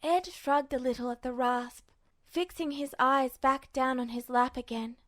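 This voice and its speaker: A woman reading scripted fiction aloud as an expressive audiobook-style narrator. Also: high-pitched, unhurried, no echo. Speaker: podfic narrator.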